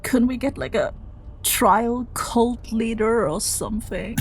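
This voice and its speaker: A woman's voice: on the high side, takes it slowly, noisy background. A woman playing a witty, self-doubting human intermediary in an audio drama, with a conversational, hesitant manner. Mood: exasperated wit.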